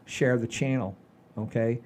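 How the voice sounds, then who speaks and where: A man's voice: mid-range voice, fairly even intonation, a little slow, a little mellow. A man in his sixties hosting a casual comedy chat show in a folksy, rambling, enthusiastic way. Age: 60s. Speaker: podcast host.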